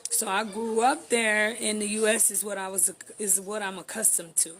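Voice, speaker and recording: A woman's voice: medium pitch, flat delivery, normal speed, a bit bright. Woman, 30s, sincere YouTuber intro, faint background noise. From Haiti, raised in the US. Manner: earnest, direct address to viewers. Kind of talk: channel introduction.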